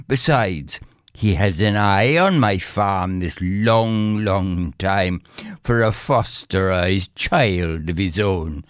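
A man voicing a poor, excitable, defiant Irish peasant farmer in a storybook tale, with exclamatory rustic phrasing. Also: medium pitch, smooth, no echo, clean studio sound. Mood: pleading, despairing.

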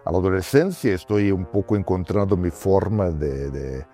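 A man's voice: low-pitched, moderate pace, warm tone, soft background music. A middle-aged man, a documentary filmmaker, talking reflectively in an interview and checking in with little tag questions.

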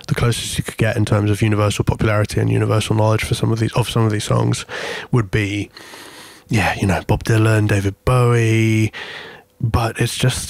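A man's voice: fairly low pitch, gravelly, no echo. A man in his thirties who grew up in Greece, a musician giving thoughtful, hesitant interview answers full of restarts. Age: 30s. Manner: hesitant, many restarts and fillers. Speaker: musician guest.

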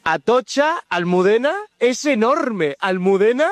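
Hombre de voz aguda y muy animada. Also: male voice, high voice, animated, unhurried, no echo. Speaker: quiz questioner. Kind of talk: quizzing people with prompts and clues.

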